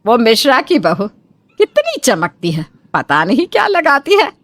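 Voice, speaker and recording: A woman's voice: high voice, huge pitch swings, a little slow, no room sound, heavily acted. A woman voicing an indignant, domineering middle-aged mother-in-law who scolds dramatically in a comic family story. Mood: miffed.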